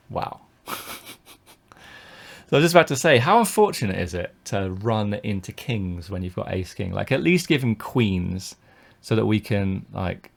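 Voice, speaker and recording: A man from the UK with a lowish, gravelly voice talks through his own poker hands on a live stream. He thinks out loud, full of fillers and restarts, as he weighs each decision and reads out viewer messages.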